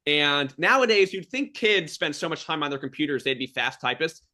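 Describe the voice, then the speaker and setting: Guy hosting a casual podcast interview, bright kinda high voice, really enthusiastic and encouraging. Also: animated, a little fast. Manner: enthusiastic, affirming. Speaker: podcast host interviewing a former colleague; school teacher.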